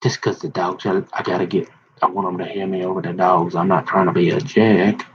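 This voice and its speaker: Male vlogger, lowish husky voice, meandering storyteller with lots of restarts, muffled lo-fi recording. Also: little pitch movement, fairly quick. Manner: meandering, restarts often, talks to viewer. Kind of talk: rambling casual monologue. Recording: dull lo-fi audio.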